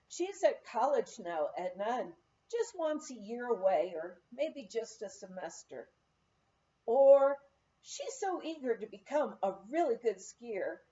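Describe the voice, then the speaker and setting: Slow, high-voiced woman reading aloud. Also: mellow, muffled recording. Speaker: audiobook reader of a memoir. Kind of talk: read-aloud narration of an anecdotal memoir.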